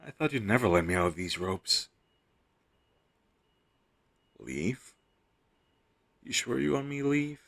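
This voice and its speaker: A man's voice: on the low side, expressive, normal speed, creaky, fairly bright tone, heavily acted. A man playing a captured superhero posing as a hostage in an intimate audio roleplay, wry, teasing and flirtatious. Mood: smug, playful.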